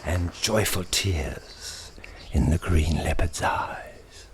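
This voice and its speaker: Deep, slow, theatrical male storyteller. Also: crowd noise. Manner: dramatic, drawn-out phrasing dropping into hushed whispers. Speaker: author reading his own story.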